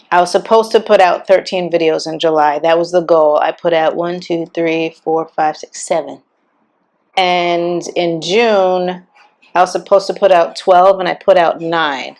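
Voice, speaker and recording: Woman vlogger, bit raspy n on the low side, just chatting candidly about her life. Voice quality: slightly raspy. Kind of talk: casual personal vlog narration.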